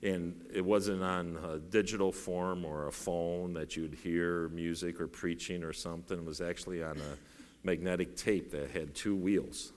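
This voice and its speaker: A middle-aged man with a bass voice preaching a sermon in a folksy, self-deprecating way, rising to raised, urgent preaching. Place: church sanctuary. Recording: long reverb in a large hall.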